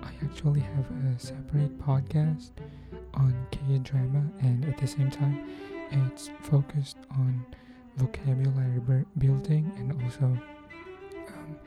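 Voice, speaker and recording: A woman hosting a podcast, thanking her listeners in a soft, often near-whispered, unhurried voice. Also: very deep voice, flat delivery. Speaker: podcast host. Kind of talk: thank-you message to listeners. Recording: cello music playing under the voice.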